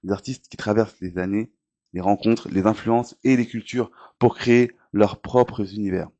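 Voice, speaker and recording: A man's voice: lowish voice, steady tone, normal speed, warm tone, highs cut off. A man hosting a filmed interview, chatty and jokey, with frequent fillers. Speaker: host.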